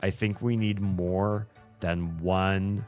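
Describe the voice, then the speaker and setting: A man streaming and answering chat in a casual, hesitant way, thinking aloud with many pauses and fillers. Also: low-pitched, steady tone, darkish tone, faint music behind.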